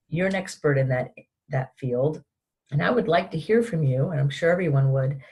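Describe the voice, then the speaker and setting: A woman's voice: deep voice, a little mellow, husky. A woman hosting a podcast and asking long, rambling questions. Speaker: podcast host. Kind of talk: podcast interview.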